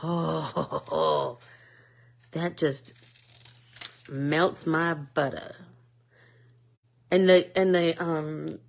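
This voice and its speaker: A woman with a Midwest accent talks slowly and in a low voice while hosting an art class. Her voice has a gravelly fry. She rambles through her thoughts with plenty of fillers. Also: expressive. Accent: American Midwest. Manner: chatty, rambling, many fillers. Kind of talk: casual chat while painting.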